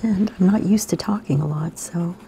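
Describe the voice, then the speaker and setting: A woman, slightly hoarse today, gently talking kids through a drawing lesson in a soft, half-whispered voice. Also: fairly low pitch, normal speed, a little hiss or hum. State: raspy, slightly sore throat. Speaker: art teacher for kids. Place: home room by a window.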